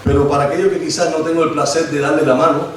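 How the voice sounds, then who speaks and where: A man's voice: on the high side, fairly even intonation, fast, a little hiss or hum. A man in his forties with a Cuban accent preaching a sermon and praying, talking in a hesitant, informal way with many restarts. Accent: Cuban Spanish. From Cuba. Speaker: lay preacher. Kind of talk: sermon with prayer. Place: church hall. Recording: echoey church hall, distant mic.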